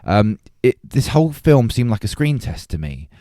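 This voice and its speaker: A man's voice: medium pitch, big pitch swings, normal speed, dark tone, no room sound, clean studio sound. A man co-hosting a podcast, chatting casually about films and stressing his points by repeating words. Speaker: podcast co-host. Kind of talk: casual film discussion.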